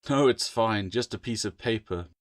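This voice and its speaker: A man's voice: lowish voice, normal speed, natural voice. Man playing a sarcastic bookish boy. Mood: cautious. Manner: mocking, indignant. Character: bookish, sarcastic, cautious boy with magical talent.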